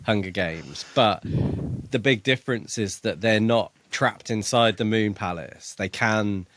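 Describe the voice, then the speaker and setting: A man co-hosting a podcast, discussing a book casually in a measured, reflective way. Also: lowish voice, a bit bright, no room sound. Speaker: podcast co-host.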